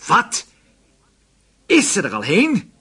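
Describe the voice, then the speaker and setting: An actor playing an authoritative, methodical police chief detective in a radio drama, with an insistent manner and a husky voice. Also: male voice, sits high, wildly swooping pitch, slow, bright, forceful tone, full character voice. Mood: urgent, commanding.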